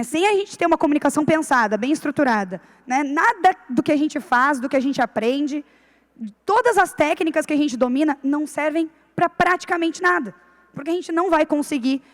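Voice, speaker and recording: Woman, high voice, conference talk in a reverberant hall, explanatory, keeps checking in with the audience. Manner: explanatory, frequent "né" tag questions. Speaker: conference speaker.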